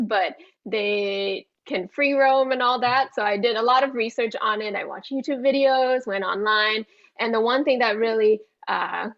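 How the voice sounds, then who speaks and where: A woman's voice: a little high, fairly bright tone. A woman from Thailand, a guest in a casual interview, talking in a friendly way and laughing often. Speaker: guest, pet social media creator. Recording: dull lo-fi sound.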